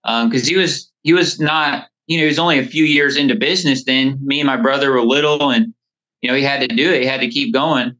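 A man's voice: medium pitch, steady tone, fairly quick, bright, forceful tone. A man in his thirties chatting casually as a podcast guest over the phone, telling stories in a rambling way. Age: 30s. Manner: rambling, frequent "you know". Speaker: podcast guest, lawn care business owner. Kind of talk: casual interview storytelling. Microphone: phone call. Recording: dull, band-limited phone call.

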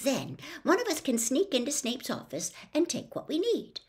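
A woman voicing a brisk, matter-of-fact, bossy schoolgirl plotter from a storybook. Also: sits high, wildly swooping pitch, a little mellow, voice pushed up. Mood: brisk, determined.

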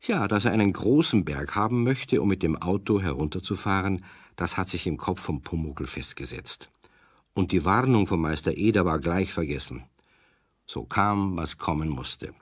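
A man narrating a children's radio play in a calm, storytelling manner. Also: deep voice, dry recording, clean studio sound.

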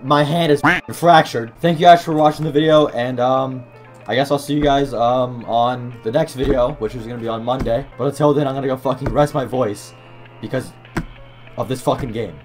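A young man playing a video game on webcam, swearing and shouting in frustration, his voice growing hoarse. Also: medium pitch, moderate pace, faint music behind. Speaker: gaming YouTuber. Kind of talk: webcam gameplay commentary. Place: small room at a desk. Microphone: desk mic beside keyboard, wearing headset.

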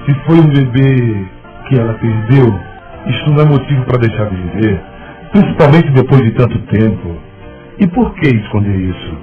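A tinny, band-limited radio soap with music underneath. An actor plays an earnest, concerned man in love. His delivery is dramatic and very animated. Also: medium pitch, normal speed, close to an everyday voice. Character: Rômulo, lovestruck earnest man, insistent and concerned. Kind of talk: radio soap opera acting. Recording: muffled, narrow phone-like radio drama sound.

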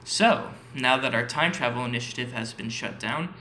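Guy acting as polite nasa guide in an audio drama, bright forceful voice, echoey room, bit of noise. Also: mid-range voice, moderate pace, natural voice. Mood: formal, polite. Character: male NASA employee giving a polite formal presentation. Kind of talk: scripted presentation. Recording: roomy echo, faint background noise.

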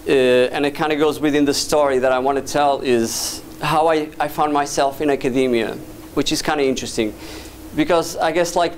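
A man from Portugal in his thirties giving a casual, halting presentation in a lecture hall, full of fillers and restarts, recorded from a camera at the back of the room. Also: a little high, slight background noise. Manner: hesitant, many fillers and restarts. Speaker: guest speaker, VJ and doctoral student. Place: dim lecture hall with projector screen. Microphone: far camera at back of room.

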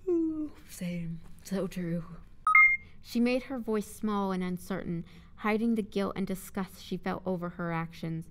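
A woman's voice: mid-range voice, measured pace, background noise. Woman narrator recording takes. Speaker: voice actor, narrator. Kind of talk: scripted audio drama narration with casual studio chat between takes.

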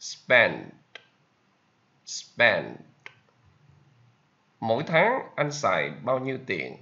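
Slow, lively-pitched man with a Vietnamese accent. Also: gravelly, highs cut off. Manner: repeats each English sentence slowly, alternating with Vietnamese. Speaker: English teacher. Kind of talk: slow vocabulary lesson with repetition.

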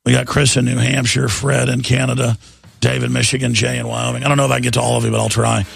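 A middle-aged man hosting a talk radio show, hoarse after an illness, talking in a relaxed, rambling way and repeating words as he reads ads and news. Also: mid-range voice, quick pace. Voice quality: gravelly, raspy. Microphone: studio microphone.

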